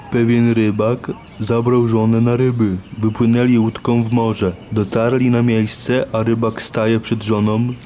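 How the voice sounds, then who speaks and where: A man's voice: mid-range voice, flat delivery, slow pace, dark tone, slight background noise, close to an everyday voice. A man playing a laid-back, boastful middle-aged angler in a cartoon, drawling and colloquial as he chats and tells crude jokes. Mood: jokey, boastful. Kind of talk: cartoon dialogue.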